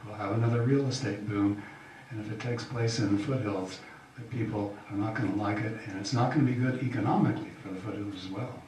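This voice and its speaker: Halting older man in echoey hall. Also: lowish voice, steady tone, darkish tone. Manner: halting, folksy, many fillers. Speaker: incumbent county supervisor. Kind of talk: forum campaign speech. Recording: echoey hall from far off, faint hiss.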